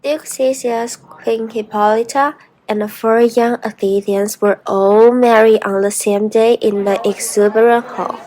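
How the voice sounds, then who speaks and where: Fairly high-voiced woman narrator. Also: steady tone, a little slow, dark tone, rough recording. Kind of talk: scripted story narration.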